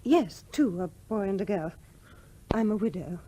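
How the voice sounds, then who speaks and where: A proper, youngish English widow played by a female radio actor, modest and polite but quick to reprove. Her husky voice is lively in pitch, in the manner of a 1970s radio drama. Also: natural voice. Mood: polite, shy. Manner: polite, reserved, primly reproving. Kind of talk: 1970s radio play acting.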